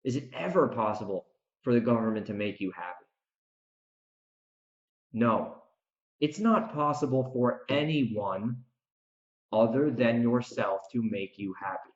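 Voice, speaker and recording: Male podcast host, off-the-cuff opinionated rant, emphatic repetition and dramatic pauses, roomy and slightly dull sound. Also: medium pitch, expressive. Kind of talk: unscripted opinionated podcast monologue.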